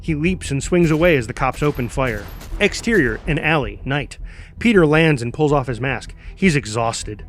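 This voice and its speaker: A man's voice: mid-range voice, lively intonation, moderate pace, soft background music. A man reading scripted stage directions in present tense, with a punchy, clipped, dramatic delivery. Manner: punchy, clipped delivery with exclaimed sound words. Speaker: stage-direction narrator at a table read.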